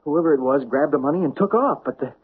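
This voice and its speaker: A man's voice: a little high, lively intonation, fast, slightly rough. An actor playing a nervous, flustered man under threat, stammering and anxious. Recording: muffled old radio broadcast.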